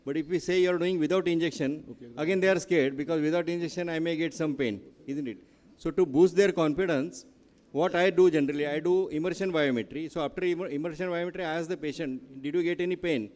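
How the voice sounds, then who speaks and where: A man's voice: a little high, fast, a little mellow, in a large hall. A man, an eye surgeon explaining his technique earnestly on a conference panel. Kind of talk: panel discussion. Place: conference hall.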